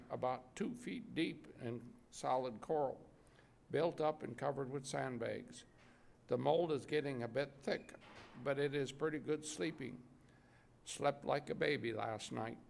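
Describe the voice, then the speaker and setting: Gravelly older man reading aloud. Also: medium pitch, measured pace. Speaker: readers theater reader. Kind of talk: reading wartime letters aloud. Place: indoor museum gallery. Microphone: podium microphone.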